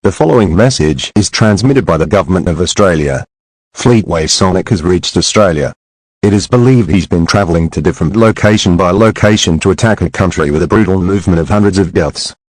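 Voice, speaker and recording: A man reading a government emergency alert in a stiff, even official delivery. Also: low voice, breathy edge, no room sound, poor audio.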